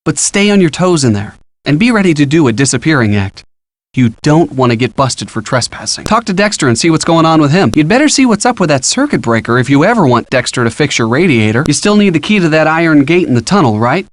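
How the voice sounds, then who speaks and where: Young American man, higher-pitched, animated, helpful college boyfriend, playful game hint dialogue. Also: fast talker, no room sound. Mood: helpful, casual. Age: young adult. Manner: casual, encouraging, playful. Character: helpful upbeat college-age boyfriend giving detective hints. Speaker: voice actor in a detective adventure game.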